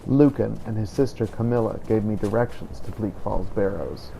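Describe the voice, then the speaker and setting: A man narrating a scripted fantasy journal in measured storytelling style. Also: fairly low pitch, vocal fry, mellow, a little hiss or hum, low-quality recording. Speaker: gameplay narrator.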